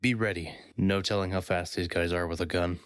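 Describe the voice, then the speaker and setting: A man's voice: deep voice, steady tone, brisk, clean tone, no echo, clean studio sound, close to an everyday voice. A man playing a cocky, sarcastic adventurer who wisecracks in a comic audio drama. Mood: guarded. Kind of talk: audio drama comedy acting.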